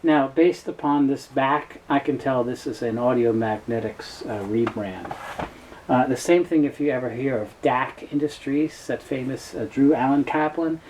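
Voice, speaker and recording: Noise sits behind a man presenting an informal explainer video. His voice is relaxed and mellow. He speaks conversationally and haltingly, often repeating a word before moving on. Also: medium pitch, rough recording. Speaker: presenter and tape seller.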